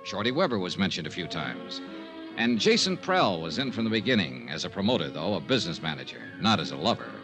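Animated, hard-boiled American radio investigator. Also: male voice, low voice, fast. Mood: dramatic, storytelling. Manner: punchy, clipped, dramatic phrasing. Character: brisk, skeptical male insurance investigator, hard-boiled and wry. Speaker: actor playing the lead and narrator of a radio mystery serial. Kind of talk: old-time radio detective acting and narration. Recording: old radio recording, music bed.

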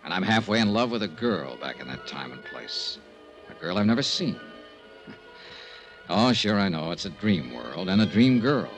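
An actor playing a wry, world-weary insurance investigator in a 1950s radio detective drama. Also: male voice, low voice, a bit bright, heavily acted, low-quality recording.

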